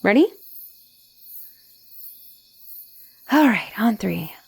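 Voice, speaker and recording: A young woman playing an obsessive, clingy girlfriend on the run from an asylum, in an intimate one-sided audio roleplay with sighs and soft, teasing turns. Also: medium pitch, animated, normal speed, no echo, exaggerated voice. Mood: straining, directing. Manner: breathy sighs, teasing, drops into soft whispers.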